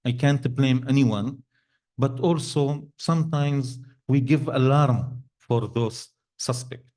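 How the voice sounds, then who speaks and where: Panel speaker, male, non-native English, halting and searching for words, dark mellow tone. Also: medium pitch. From Syria. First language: Arabic. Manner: halting, many restarts and fillers, non-native grammar. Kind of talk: panel discussion answer. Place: event hall.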